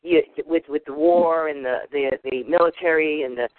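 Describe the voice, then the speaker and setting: A woman's voice: low voice, normal speed, dry recording. Woman, Boston accent, chatty guest, tinny phone sound, dry. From United States. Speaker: guest caller. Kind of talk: casual call-in chat.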